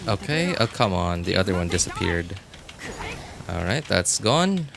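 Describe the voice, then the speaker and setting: A man's voice: low voice, very animated, a little slow, gravelly, background noise. A man livestreaming a game, chatting casually with viewers and thinking aloud in a rambling way. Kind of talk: casual gaming stream chat with viewers.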